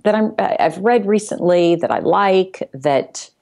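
A woman's voice: mid-range voice, animated, a little slow, gravelly, a little mellow. A woman speaking as a podcast guest in casual conversation, explaining things reflectively step by step. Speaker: podcast guest, sales executive.

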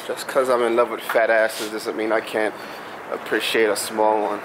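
A man's voice: fairly low pitch, level tone, moderate pace. A young man trading blunt, joking banter with his girlfriend on a city street. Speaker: boyfriend. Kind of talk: casual chat. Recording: loud street noise.

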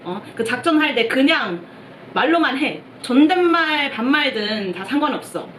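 A woman's voice: high-pitched, animated, moderate pace, a crowd in the background. A young woman from Taiwan, a native Mandarin speaker with a Taiwanese accent, chatting in hesitant, word-searching Korean as she reacts to a show. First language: Mandarin Chinese. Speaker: reaction video host. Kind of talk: casual reaction commentary. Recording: roomy echo with show music behind.

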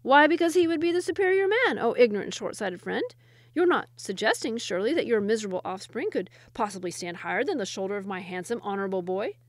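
A woman's voice: medium pitch, expressive, fast talker, no echo, natural voice. A woman voicing a boastful, quarrelsome male royal wazir in a storybook, with a pompous manner and florid insults. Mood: haughty, scornful.

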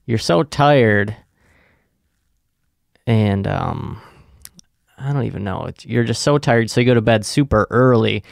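Slow, gravelly-voiced tired young man. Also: lowish voice, no room sound, studio quality. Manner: profane, laid-back, trailing off with fillers. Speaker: podcast co-host. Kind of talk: casual rambling monologue.

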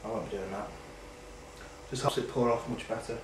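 A man's voice: lowish voice, steady tone, brisk, noisy background. A man in his thirties hosting a casual home cooking show, chatting while he works in his kitchen. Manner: chatty, talks while working at the stove. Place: home kitchen at night, indoors. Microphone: camera mic from across the room. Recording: roomy, distant camera-mic sound.

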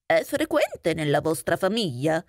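A woman's voice: low-pitched, big pitch swings, a little slow, no room sound, studio quality, pitched below the performer's own voice. A woman voicing a trusting, puzzled male mouse in a fairy tale, with a questioning, exclamatory way of talking. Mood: curious, puzzled.

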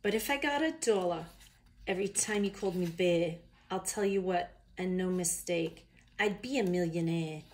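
A woman's voice: fairly low pitch, normal speed, a little mellow, slight background noise, natural voice. A woman voicing an exasperated, indignant storybook koala in an attempted Australian accent, blunt, grumbling and emphatic. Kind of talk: children's picture book read-aloud.

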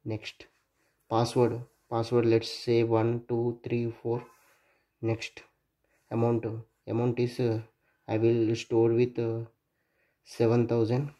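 A man narrating a hands-on electronics project demo at a workbench, thinking aloud in a halting way and spelling out digits and letters as he goes. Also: medium pitch, monotone, dark tone. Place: indoors at a workbench.

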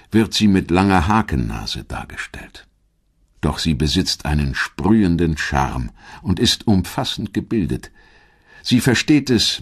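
A man reading a scripted audio documentary in a measured, dramatic storytelling manner, with a husky voice. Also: sits low. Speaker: documentary narrator. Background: background music.

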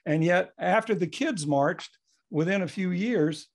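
Older man, fairly high-pitched, reflective. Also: measured pace. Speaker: guest speaker, historian. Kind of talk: unscripted panel remarks over video call. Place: home office, indoors. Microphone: computer mic on video call.

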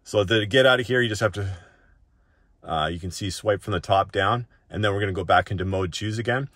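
A man's voice: fairly low pitch, fairly quick, fairly bright tone, no room sound, studio quality. A man hosting a gadget walk-through in casual, unscripted tutorial talk, conversational and often checking in with short tag questions. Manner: conversational, often tags sentences with "right?".

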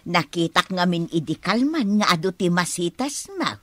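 A woman playing a scrounging, coaxing village auntie in an Ilocano radio comedy drama, full of exclamations and flattery. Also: lowish voice, moderate pace, dry recording. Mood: wheedling, grateful.

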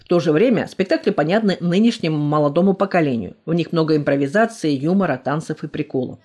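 Fast-talking woman narrating a news report. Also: low-pitched, darkish tone, slightly rough. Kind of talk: scripted voice-over narration.

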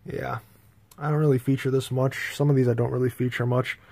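An American man with a slightly gravelly voice giving a casual, halting, rambling video tour indoors on a handheld phone. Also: mid-range voice, flat delivery, darkish tone, slight background noise. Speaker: host, hobbyist collector. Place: small bedroom, indoors. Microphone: handheld phone, speaker behind the camera.

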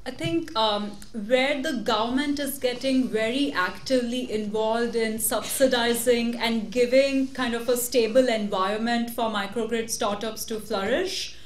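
A woman with a fairly high voice speaks as a panelist in a large meeting room. She explains steadily and checks in with tag questions. The room adds echo. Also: steady tone, a little slow, few pauses, a little hiss or hum. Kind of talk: panel answer. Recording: roomy hall.

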